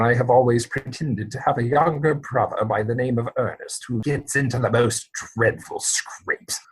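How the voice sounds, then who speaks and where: A man acts out a proper young English gentleman from a Victorian stage comedy. His voice is mid-pitched and slightly rough. He speaks formally, with prim exasperation and an evasive, earnest air. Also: poor audio. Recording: home recording over video call.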